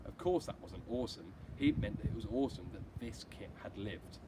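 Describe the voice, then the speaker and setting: A man's voice: on the low side, lively intonation, normal speed. A man reading a children's storybook aloud like a teacher, acting out the dialogue expressively. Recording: clearly noisy amateur recording.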